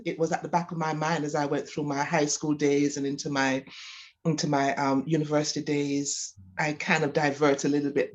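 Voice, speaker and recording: A woman's voice: sits low, highs cut off. A woman with a Jamaican accent answering interview questions as a physiotherapist, explaining and talking about herself. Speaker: physiotherapist guest.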